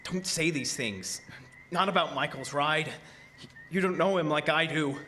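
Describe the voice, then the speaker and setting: Weary carnival boss, fearful, halting, slightly high voice, horror audio drama, noticeable noise behind. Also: male voice, sharp tone. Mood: uneasy, reluctant. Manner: hesitant, halting. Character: weary, fearful male carnival show owner.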